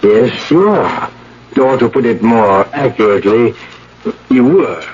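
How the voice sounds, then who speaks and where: An actor playing a placating, apologetic senior scientist who runs an institute, speaking hesitantly and tactfully in radio drama style. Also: male voice, medium pitch, sing-song, a crowd in the background. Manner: hesitant, measured, tactful delivery. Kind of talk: old-time radio drama acting. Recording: dull, noisy vintage radio broadcast.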